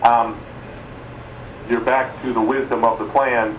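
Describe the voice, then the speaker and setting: A man leading an informal meeting discussion, speaking haltingly as he thinks aloud. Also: mid-range voice, flat delivery, a little hiss or hum. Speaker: work group chair running a conference call. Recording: muffled, roomy, distant conference phone line.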